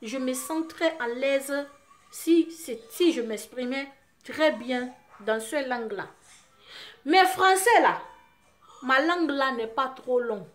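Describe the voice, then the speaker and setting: A woman from Guinea with a Guinean French accent, Susu her first language, talking to her fans in an impassioned vlog, her voice often rising into loud, forceful outbursts. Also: sits high, animated, slow. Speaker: vlogger addressing her fans. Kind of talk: impassioned monologue to camera.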